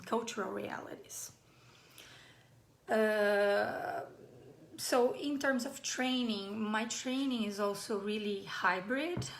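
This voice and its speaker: A woman in her forties from Brazil, with a Brazilian Portuguese accent, giving a live online talk as a playwright and often pausing on fillers and restarts. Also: medium pitch, a little hiss or hum. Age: 40s. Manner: hesitant, many fillers and restarts. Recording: roomy echo.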